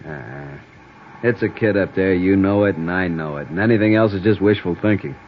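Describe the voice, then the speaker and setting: Man playing a gruff police sergeant, low blunt voice, dull vintage broadcast with hiss. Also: moderate pace, natural voice. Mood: world-weary. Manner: blunt, plain-spoken. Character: gruff, world-weary male police sergeant. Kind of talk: 1950s radio acting. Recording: dull old radio recording with faint hiss.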